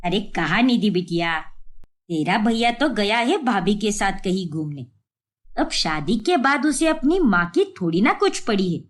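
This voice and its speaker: A woman's voice: mid-range voice, very animated, measured pace. Woman acting a nagging, wounded mother-in-law. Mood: aggrieved. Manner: scolding, complaining.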